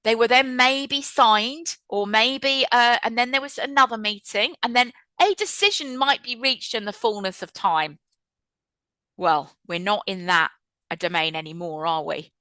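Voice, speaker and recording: A woman in her fifties with a bright, clear voice, heard dry and close. She hosts a webinar over a video call in a chatty, reflective way. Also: a little high, a little slow, dry recording. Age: 50s. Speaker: webinar host and trainer. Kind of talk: presenting a webinar.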